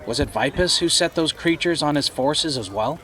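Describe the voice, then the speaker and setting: An actor playing a curious, attentive listener who puts measured questions in a fantasy audio drama. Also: male voice, on the high side, lively intonation, a crowd in the background, lightly acted. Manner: inquisitive, measured.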